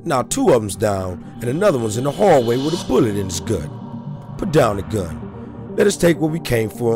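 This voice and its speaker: A man voicing a tough wolf-man mercenary who speaks in a deep, serious, steady and threatening way. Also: lightly acted. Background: background music.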